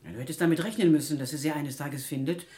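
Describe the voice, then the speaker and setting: A woman's voice: sits low, quick pace, dark tone, breathy edge. An actress playing a steadfast, reproachful older foster mother in a German radio drama, speaking firmly and insistently. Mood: practical, questioning.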